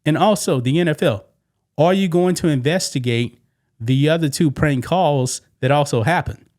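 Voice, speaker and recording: A man's voice: fairly high pitch, moderate pace, a little mellow. A man hosting a sports commentary show, giving casual opinions in a conversational way with rhetorical questions. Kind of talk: casual opinion commentary on sports news, reading quoted statements.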